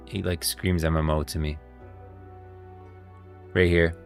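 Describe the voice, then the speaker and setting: Male streamer, low and creaky voice, enthusiastic, emphatic, off-the-cuff lore commentary. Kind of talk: casual livestream game lore commentary. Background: music.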